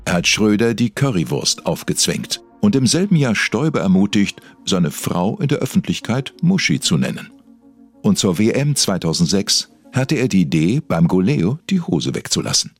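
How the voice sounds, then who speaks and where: A man's voice: on the low side, sing-song, normal speed, faint music behind. A man narrating a mock celebrity documentary as a solemn, scripted storyteller. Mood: deadpan solemn.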